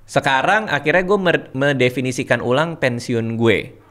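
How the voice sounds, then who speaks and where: A man in his forties hosting a casual chat, relaxed and slangy, repeating words for emphasis. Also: mid-range voice, normal speed. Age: 40s. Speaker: host.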